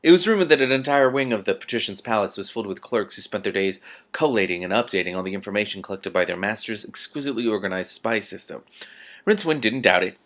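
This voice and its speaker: A man's voice: lowish voice, a bit bright. A man reading a fantasy novel aloud expressively, breaking off for casual reactions.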